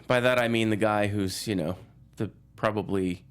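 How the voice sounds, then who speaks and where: Low-pitched man in casual panel chat. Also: moderate pace, clear, resonant tone. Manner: informal, opinionated. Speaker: film journalist guest.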